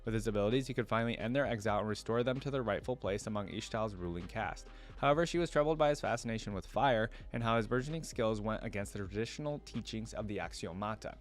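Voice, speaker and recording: A man's voice: on the low side, fast talker, faint music behind. A man hosting a reaction video, reading story text aloud and commenting in an informal, rambling, conversational way. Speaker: YouTube reaction video host.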